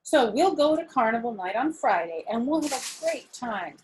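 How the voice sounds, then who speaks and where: A woman's voice: fairly high pitch, big pitch swings, moderate pace, a little hiss or hum, voice pushed up, rough recording. A woman voicing a firm but reassuring mother in a children's storybook, with a parental, instructive manner. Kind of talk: children's storybook character reading.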